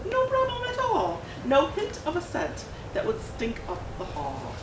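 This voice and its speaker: Woman doing a lively storybook read-aloud. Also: high voice, a little slow. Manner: expressive rhyming delivery with bouncy emphasis. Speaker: children's book author. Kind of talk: dramatic picture-book read-aloud. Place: art gallery event room. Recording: echoey room, distant, noisy.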